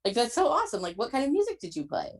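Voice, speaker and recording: A woman's voice: a little high, wildly swooping pitch, very fast, husky. A woman hosting a podcast in casual interview chat, effusive and informal, often saying "like". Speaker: podcast host.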